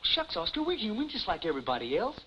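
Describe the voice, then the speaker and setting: A man playing a naive, boastful small-town young man with a folksy, halting manner in 1950s television comedy. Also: high-pitched, wildly swooping pitch, fairly bright tone, heavily acted. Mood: boastful, dreamy. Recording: dull vintage 1950s TV soundtrack, faint noise.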